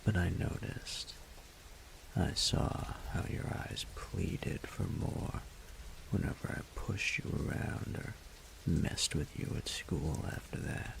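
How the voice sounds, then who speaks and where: A man playing a smug, teasing young bully in an intimate audio roleplay, with a breathy, whispery, gravelly voice and a slow, taunting drawl. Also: low-pitched, expressive, background noise, exaggerated voice.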